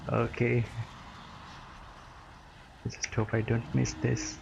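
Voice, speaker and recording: A man gives casual live commentary while gaming, in short muttered reactions. He talks slowly, with a gravelly creak in an ordinary-pitched voice, over faint background noise. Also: monotone, highs cut off. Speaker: gaming streamer reacting to a game. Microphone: webcam setup at a desk.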